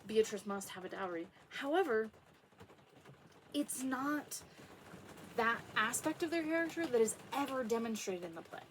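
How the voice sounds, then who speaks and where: A woman giving casual, opinionated commentary on literature in an emphatic way, with dramatic pauses. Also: fairly high pitch, animated, a little hiss or hum.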